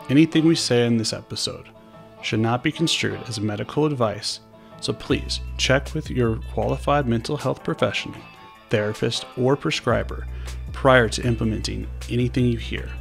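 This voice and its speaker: A man in his thirties, an ADHD coach and therapist, giving a measured, professional disclaimer. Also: mid-range voice, creaky. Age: 30s. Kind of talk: formal disclaimer.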